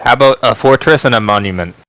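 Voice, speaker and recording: A man's voice: mid-range voice, quick pace, a bit bright, poor audio. A man bantering casually with friends as a player in a party game.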